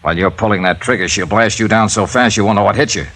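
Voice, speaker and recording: An actor in a 1950s radio drama playing a sardonic, hard-boiled insurance investigator with clipped, deadpan wit. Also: male voice, lowish voice, quick pace. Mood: sardonic, tense. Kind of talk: 1950s radio acting and narration. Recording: old radio show recording.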